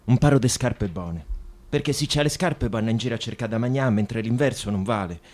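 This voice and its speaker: A man's voice: medium pitch, fairly quick, darkish tone, close to an everyday voice. An actor in a radio play voicing a wry, practical working-class Roman man with a Roman accent. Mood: wry, urgent. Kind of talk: radio drama monologue.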